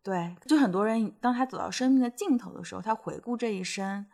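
Woman podcast co-host, easy mid-pitched chat voice, talks things through at length. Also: a little fast, gravelly, darkish tone. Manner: explains at length, stacks many agreeing "对对对". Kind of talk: casual chat about fairy tales.

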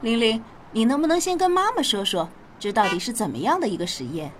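A woman's voice: a little high, very animated, fast, slight background noise. A woman playing a caring, practical mother in a radio drama. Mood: curious.

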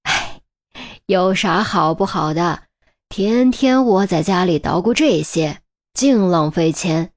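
女声演绎的絮叨市井老太太. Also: female voice, on the low side, lively intonation, slow. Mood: grumbling. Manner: folksy, gossipy, fussing. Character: chatty, nosy, thrifty old woman neighbour.

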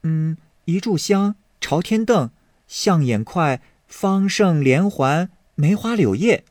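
A sweet, coy sixteen-year-old maid chatters in a sing-song lilt, as a man voices her. Her voice leaps high and falls, unhurried, with a dry close sound. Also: animated, darkish tone, dry recording. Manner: coy, giggly, lilting. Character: sweet playful teenage maid girl.

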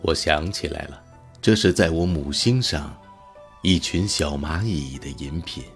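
A man playing an elderly, wistful, unhurried god who wanders the stars, in an audio drama. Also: extremely low pitch, soft background music. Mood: calm, reminiscing. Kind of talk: Mandarin audio drama acting.

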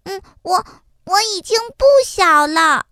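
A woman's voice: very high-pitched, pushed and bright, dry recording, clean studio sound, voice pushed up, heavily acted. A woman voicing a spoiled but sweet little egg girl who whines and pleads in a childish way, in a storybook reading. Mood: earnest. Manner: pleading, drawn-out childish whining.

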